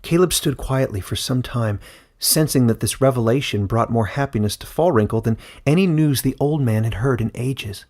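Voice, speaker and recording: A man narrating a scripted fantasy story as an audiobook, in a measured storytelling manner. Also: medium pitch, darkish tone.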